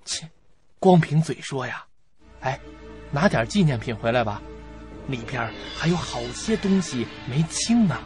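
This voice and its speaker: An actor playing a teasing male college student in a radio drama, speaking in a hushed, conspiratorial, taunting way. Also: medium pitch, expressive, unhurried, long pauses, soft background music, natural voice. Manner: hushed, partly whispered, taunting.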